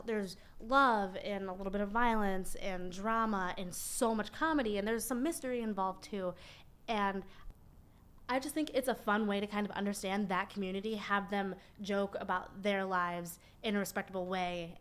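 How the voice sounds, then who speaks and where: A woman's voice: medium pitch, moderate pace, a bit bright, clear, resonant tone. A young woman co-hosting a podcast in casual, informal conversation. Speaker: podcast co-host.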